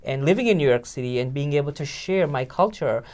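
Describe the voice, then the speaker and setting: A man's voice: on the high side, animated, brisk, a little mellow. A man who is a guest in an interview. He speaks earnestly and reflectively, with many fillers and tag questions. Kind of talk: casual interview chat.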